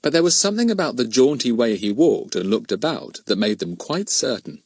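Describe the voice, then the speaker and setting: A man narrating a children's story from a script in an expressive, animated way. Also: mid-range voice, a little fast, slightly rough, dry recording, clean studio sound. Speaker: audiobook narrator.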